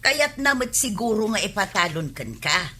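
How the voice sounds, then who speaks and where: A woman's voice: mid-range voice, sing-song, moderate pace, sharp tone, husky, full character voice. A woman with an Ilocano accent playing a gossipy, inquisitive villager in a comedy radio drama.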